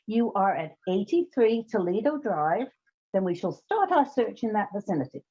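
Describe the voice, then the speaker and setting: A wry, businesslike agency boss, played by a woman in an old-time radio comedy, with a husky, mellow voice that moves briskly up and down. The sound is slightly muffled. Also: medium pitch, big pitch swings.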